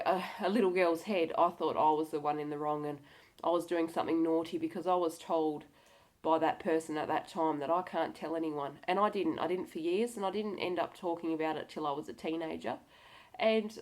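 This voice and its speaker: A woman with a slightly nasal, cold-affected voice talking candidly to viewers in an earnest, direct and encouraging way. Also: on the low side. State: has a cold. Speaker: video blogger. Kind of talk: candid personal talk to camera.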